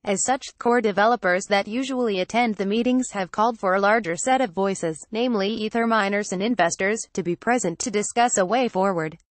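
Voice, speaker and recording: A woman's voice: medium pitch, fairly quick, few pauses, no echo. A woman reading a scripted news article aloud in a formal manner, as a narrator.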